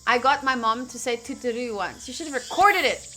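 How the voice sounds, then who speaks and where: A woman's voice: on the high side, expressive, a little fast, sharp tone. A woman game streamer reading a visual novel aloud theatrically, mixed with casual reactions. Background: faint game music.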